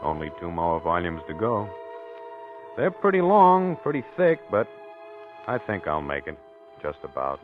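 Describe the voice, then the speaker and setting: An actor playing a dazed, obsessed husband, narrating haltingly in the style of 1940s radio drama. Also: male voice, low-pitched, wildly swooping pitch, full character voice. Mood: resigned, wistful. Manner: halting, groggy, brooding. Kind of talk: 1940s radio acting, first-person narration. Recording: muffled vintage radio recording, organ music.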